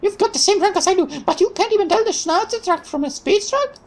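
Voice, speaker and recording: Brash young woman mechanic, high, exclaiming delivery, voiced by a female reader. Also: fast. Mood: indignant. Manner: exclaiming, indignant, high-pitched. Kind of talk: reading visual novel dialogue aloud.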